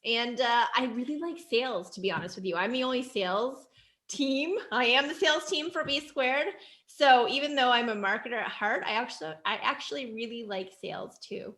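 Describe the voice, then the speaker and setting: Bright, high, girlish-voiced woman. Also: a little fast. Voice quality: youthful, girlish voice. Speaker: interview guest, agency founder. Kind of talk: conversational interview.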